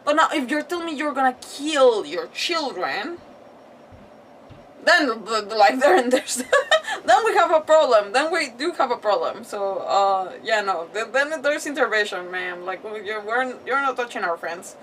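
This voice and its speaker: A woman's voice: on the high side, expressive, a little slow, a bit bright. A woman streaming a game with casual, rambling commentary, reacting and arguing back at the characters. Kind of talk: casual live gameplay commentary. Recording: slightly distant mic, faint background noise.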